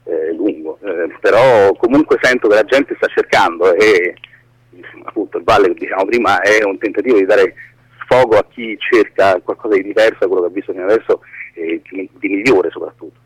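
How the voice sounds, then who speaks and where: A man's voice: deep voice, slightly rough, no echo, low-quality recording. A man speaking as an interview guest in an informal, hesitant way, repeating words as he searches for the next. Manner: hesitant, halting, repeats words, casually profane.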